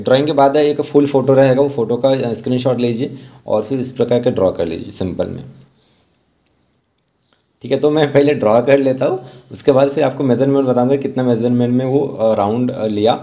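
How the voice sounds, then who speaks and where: Mellow-voiced Indian man teaching drawing. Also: mid-range voice, normal speed. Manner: instructional, encouraging. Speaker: rangoli art teacher and channel host. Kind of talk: informal step-by-step drawing tutorial.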